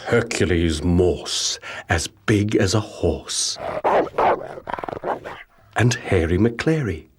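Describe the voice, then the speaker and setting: A man reading children's rhyming stories as an audiobook narrator, with measured, rhythmic, theatrical delivery. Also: low-pitched, animated, heavy background noise. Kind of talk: scripted children's storybook narration in rhyming verse.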